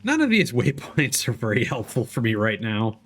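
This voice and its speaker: A man's voice: mid-range voice, sing-song, normal speed, fairly bright tone, slight background noise. A man giving casual livestream commentary as he plays a game. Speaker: game streamer.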